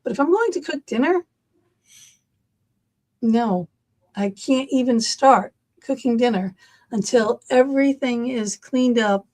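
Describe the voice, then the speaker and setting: A woman's voice: a little high, a little slow, pauses often. Chatty woman with a Massachusetts accent. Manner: chatty, animated, rambling with many fillers. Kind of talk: casual chat.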